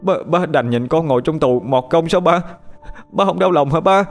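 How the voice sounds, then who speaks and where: Manipulative young killer, whiny and stammering, voiced by the narrator. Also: male voice, on the high side, normal speed, faint music behind, pitched above the performer's own voice, lightly acted. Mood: whining pleading. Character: violent, manipulative young murderer son.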